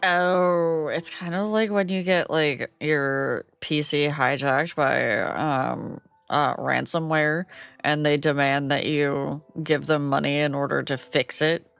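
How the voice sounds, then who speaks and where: Low-voiced woman streamer reading a game. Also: steady tone, slow pace, creaky, a bit bright, clear, resonant tone, no echo, soft background music. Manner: playful, giggly commentary. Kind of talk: reading a game aloud with reactions.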